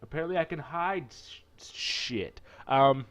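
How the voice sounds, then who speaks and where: A man's voice: fairly high pitch, slow, a bit bright. A man hosting a visual novel playthrough, reading aloud and breaking into casual, jokey, sweary asides. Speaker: let's play host.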